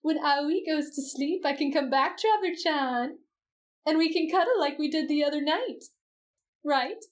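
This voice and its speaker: A woman's voice: high-pitched, normal speed, voice pushed up, natural voice. A woman voicing a clingy, inquisitive teenage boy in an ASMR roleplay, eager for affection. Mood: coaxing, clingy. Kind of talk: roleplay ASMR character acting.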